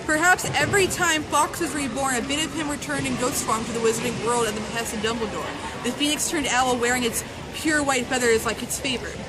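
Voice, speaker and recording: A woman's voice: high-pitched, fairly even intonation, brisk, a loud crowd. A woman giving a playful, mock-serious dramatic reading of a humorous essay. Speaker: reader of a fan zine. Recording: noisy outdoor urban recording with traffic.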